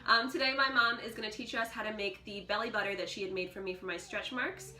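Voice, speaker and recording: A young woman hosting her own channel, explaining a homemade recipe step by step in a relaxed, casual way from her kitchen. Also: medium pitch, quick pace. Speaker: channel host. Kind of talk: casual how-to vlog. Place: home kitchen.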